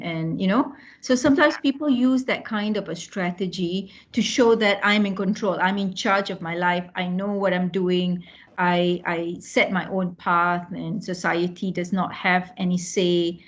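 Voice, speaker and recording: A woman hosting a group discussion in an encouraging, affirming manner. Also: mid-range voice. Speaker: book club host and moderator. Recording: dull lo-fi online call audio.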